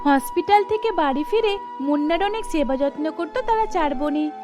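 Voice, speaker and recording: A woman's voice: high voice, expressive, moderate pace. A woman storyteller reading scripted narration for a cartoon, with music underneath.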